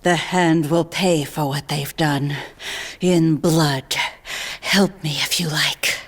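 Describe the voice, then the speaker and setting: Low-voiced, vengeful assassin. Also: female voice, fairly even intonation. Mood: cold threat. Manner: cold, measured, threatening. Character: grim, vengeful female assassin, coldly determined. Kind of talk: video game cutscene acting.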